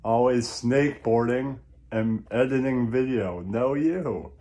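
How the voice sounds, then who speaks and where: Male, comic host, slow delivery, dark mellow tone, crude, mocking, repetitive catchphrases. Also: medium pitch. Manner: crude, mocking, repetitive, laughs at own jokes. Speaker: comic host of an online show. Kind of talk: rambling comic monologue with repeated catchphrases.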